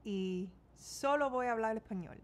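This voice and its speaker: A woman's voice: on the high side, measured pace. Chatty Cuban-American woman from Miami. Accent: Cuban-American Miami Spanish. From United States, Miami. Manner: chatty, mixes English words into Spanish. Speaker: beauty vlogger. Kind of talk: casual makeup tutorial chat. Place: small bedroom indoors.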